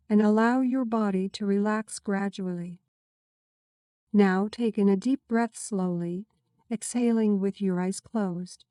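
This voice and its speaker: A woman narrating a scripted guided meditation in a soothing, measured, instructive way. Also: medium pitch, dark tone, no room sound, clean studio sound.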